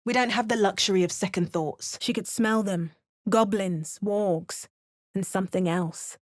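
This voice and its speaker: A woman acts out a determined adventurer from a fantasy role-playing game. She is wry and measured, with a theatrical edge. The sound is dry and close, with no room around it. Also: mid-range voice, fairly even intonation, no room sound, natural voice. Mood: wry, resolute. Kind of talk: video game voice lines, dramatic narration and incantations.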